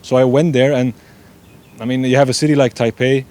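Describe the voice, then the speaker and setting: A man's voice: medium pitch, fairly even intonation, brisk, darkish tone. A young man from Belgium, an eager race director chatting as an interview guest. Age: young adult. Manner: eager, repeats "yeah" often, loose non-native grammar. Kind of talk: casual interview chat.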